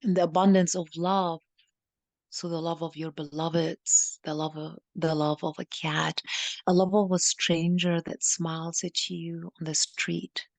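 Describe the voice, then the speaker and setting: A woman with a lowish voice guides a meditation very slowly and solemnly. Her phrasing is deliberate and incantatory, with gravelly creak and breathy, whispery softness, like a channeled healer addressing listeners. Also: flat delivery, no room sound. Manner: halting, deliberate phrasing with repeated lines.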